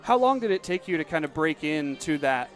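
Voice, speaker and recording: A man's voice: a little high, fairly quick, faint music behind. A young man hosting a baseball radio broadcast from a stadium booth, mixing play-by-play calls with interview questions. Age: young adult. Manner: steady announcer delivery mixing calls and questions. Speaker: play-by-play announcer and host.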